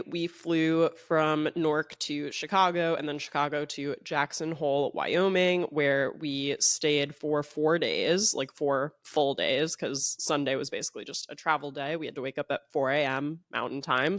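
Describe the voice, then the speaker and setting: A man's voice: on the high side, a little slow, hardly any pauses, fairly bright tone, no room sound, clean studio sound. A young man hosting a podcast in casual solo chat. He sounds a little congested and talks in a rambling, chatty way, often saying "like". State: slightly congested. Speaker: podcast host.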